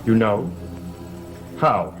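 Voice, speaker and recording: An actor playing a proud, defiant young heir in a gothic television soap opera, imperious and indignant, with music behind him. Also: male voice, fairly low pitch, expressive, very slow. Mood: urgent, puzzled. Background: background music.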